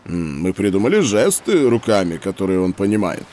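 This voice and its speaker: A man playing a patient scientist who explains experiments to children in a measured, didactic way in an educational audio drama. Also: low-pitched, very animated, warm tone, a little hiss or hum. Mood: explanatory.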